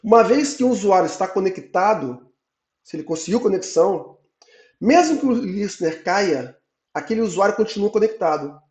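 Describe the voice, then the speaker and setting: A man, hoarse from a cold, giving an online database lecture and checking in with "tá?" after each point. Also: sits high, lively intonation, moderate pace, a little mellow, reverberant. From Brazil. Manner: explains in repeated checks, ending phrases with "tá?". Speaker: database instructor.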